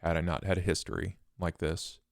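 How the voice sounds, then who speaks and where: A man voicing a cold, controlled killer in a tabletop role-play, questioning in a deliberate, formal and menacing way. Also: low-pitched, level tone, gravelly, no echo, studio quality. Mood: probing, solemn. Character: cold, controlled male killer interrogating his copycat.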